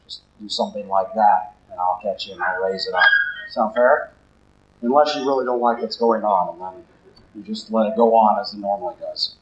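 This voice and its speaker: A man, a deacon preaching a sermon in a church in a low, conversational way and putting questions to the congregation. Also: a little fast. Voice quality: deep voice. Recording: roomy, slightly dull church recording.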